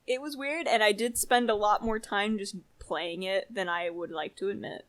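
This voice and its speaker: A woman's voice: medium pitch, steady tone, moderate pace. A young woman co-hosting a podcast, chatting casually and giving her opinions in an informal, rambling way. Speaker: podcast co-host. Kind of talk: casual chat.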